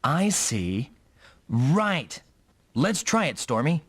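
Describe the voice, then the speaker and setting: A man's voice: fairly high pitch, sing-song, extremely slow, background noise, heavily acted. An actor playing an earnest, dutiful young male rookie mech pilot in an anime-style video game. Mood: focused, earnest.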